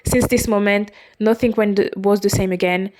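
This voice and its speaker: A woman in her twenties, a non-native English speaker, hosting a podcast and talking through her own story in a halting, confessional way, often stopping to correct herself. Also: medium pitch, fairly even intonation, a little mellow, a little hiss or hum, poor audio. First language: not English. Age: 20s. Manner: halting, with frequent restarts and self-corrections. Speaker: podcast host. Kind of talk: personal confessional monologue.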